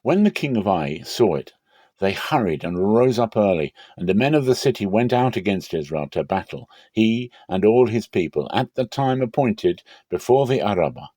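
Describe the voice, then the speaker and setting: A man with a deep, low voice reads scripture dramatically. His delivery is solemn and measured, with emphatic phrasing, and the recording is close and dry. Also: sing-song, mellow, no room sound. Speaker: Bible reader.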